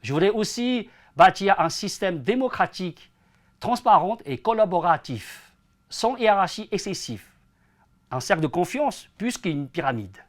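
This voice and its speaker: A man's voice: sits high, sing-song, measured pace. A man in his forties, born in China and raised in France, giving earnest, prepared answers as an interview guest. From born in China, grew up in France from age ten. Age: 40s. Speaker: interview guest, entrepreneur and association president.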